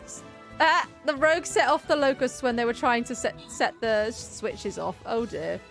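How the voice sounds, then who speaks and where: A woman's voice: a little high, expressive, moderate pace, gravelly, fairly bright tone. A woman giving casual live-stream game commentary, chatty and playful as she muses aloud. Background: game music.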